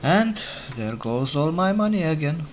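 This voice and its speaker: A man whose first language is not English, casually commenting on his game as he plays, unhurried and thinking aloud, often correcting himself. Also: on the high side, expressive, a little hiss or hum. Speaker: let's play gamer. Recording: game music playing behind. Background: game music.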